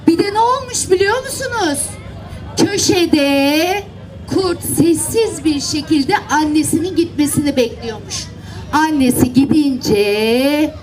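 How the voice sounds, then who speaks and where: A woman's voice: high-pitched, low-quality recording. A woman telling fairy tales live to children, addressing them directly and playfully at an outdoor event. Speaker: children's storyteller. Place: outdoors, seaside public event. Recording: outdoor event with crowd and background noise.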